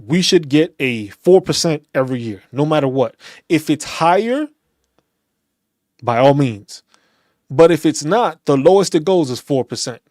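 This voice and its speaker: A man, a veterans' channel host, speaking in a casual, animated rant, often restarting and repeating phrases. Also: on the high side, a little slow, no room sound, studio quality. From New York City. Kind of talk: casual opinion talk.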